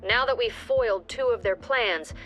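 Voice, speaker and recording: An actress playing a calm female agency director who gives measured, authoritative briefings. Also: fairly high pitch, soft background music, close to an everyday voice. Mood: commanding.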